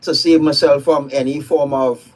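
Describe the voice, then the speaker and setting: A man's voice: fairly high pitch, steady tone, moderate pace, rough recording. A man from Trinidad and Tobago with a Trinidadian accent, giving outspoken, opinionated commentary on a live stream.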